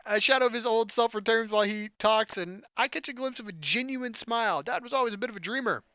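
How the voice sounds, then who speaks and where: A man's voice: very high voice, quick pace, bright, forceful tone, clear, resonant tone, no echo, muffled recording. A man doing let's play commentary on a game, reading narration aloud and adding jokey, sarcastic asides. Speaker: gaming let's player.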